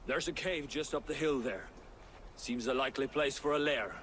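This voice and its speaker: An actor playing a bold, hearty, plain-spoken Danish warrior and hunter in a video game cutscene. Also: male voice, mid-range voice, normal speed, fairly bright tone, slight background noise, natural voice. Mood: easygoing.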